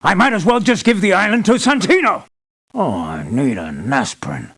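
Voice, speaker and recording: A blunt, demanding boss of hired soldiers in a video game. The man's voice sits a little high and swings widely. He is articulate and businesslike, with an anxious, emphatic edge. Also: sing-song, normal speed, fairly bright tone, heavily acted. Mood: grave. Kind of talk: video game character voice lines.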